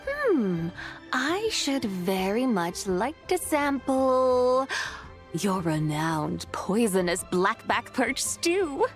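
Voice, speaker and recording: A woman's voice: on the high side, very animated, very slow, faint music behind, exaggerated voice. A woman voicing a haughty, aloof self-proclaimed immortal in a video game, speaking in an imperious, formal way. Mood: haughty, amused. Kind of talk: English video game voice acting.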